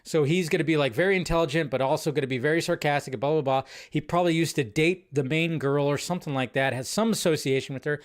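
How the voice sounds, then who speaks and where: Man, slightly high voice, casual livestream host, rambling, filler-heavy, reading viewer questions. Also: quick pace, hardly any pauses. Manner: reads viewer questions aloud then answers offhandedly. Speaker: host of a film news livestream.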